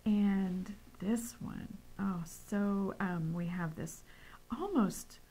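A woman's voice: mid-range voice, drawn-out words, dark tone, poor audio. A middle-aged woman co-hosting in a studio, talking casually and effusively, drawing out descriptions of colors. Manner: gushing, effusive, lingers on color words. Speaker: co-host. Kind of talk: casual chat. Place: bright studio set at a table.

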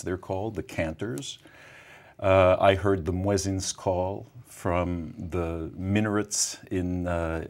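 A middle-aged man from Morocco with a very low voice, sitting in a college TV studio with a lapel mic. He tells his story reflectively, with soft laughs now and then. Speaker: guest musician. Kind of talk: relaxed interview answers.

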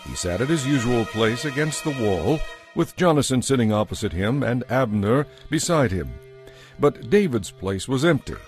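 A man's voice: medium pitch, big pitch swings, moderate pace, soft background music. A man narrating a dramatized audio Bible as scripted storytelling.

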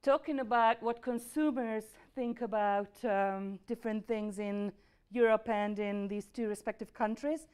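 A middle-aged Hungarian-accented woman gives a lecture presentation in a university lecture room. Her voice sits a little high and sounds smooth and clear. She explains steadily and fills her pauses with frequent ums and uhs. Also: fairly even intonation, darkish tone. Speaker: market research presenter.

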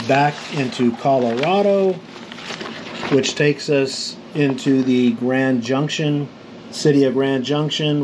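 A middle-aged man from New Mexico calmly explains road maps for motorcycle trip planning, rambling with fillers and restarts. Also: mid-range voice, a little hiss or hum, low-quality recording. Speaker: motorcycle vlogger.

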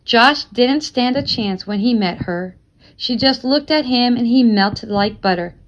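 A woman's voice: on the high side, measured pace, muffled recording, a little hiss or hum, natural voice. A woman voicing an affectionate, loyal old grandmother reminiscing in a storybook read-aloud. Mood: fond.

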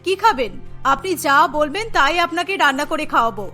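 A woman voicing a middle-aged, sharp-tongued mother-in-law in a Bengali cartoon, with a bossy, fussing manner. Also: sits high, expressive, a little fast, soft background music. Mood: hospitable.

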